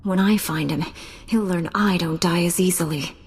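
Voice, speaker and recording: A woman's voice: fairly low pitch, moderate pace, breathy edge. An actress playing a hard, vengeful female Jedi knight in a video game, curt and cold. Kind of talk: video game cutscene acting. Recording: long hall reverb, music behind.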